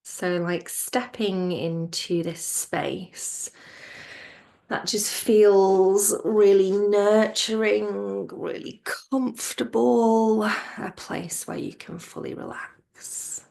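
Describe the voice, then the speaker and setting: A woman with a mid-pitched, soft voice leads a guided relaxation. She speaks slowly and soothingly, leaving space between phrases. Her words often sink gently toward a near-whisper. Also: steady tone, gravelly. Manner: soothing, gentle, unhurried with pauses. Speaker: yoga nidra teacher.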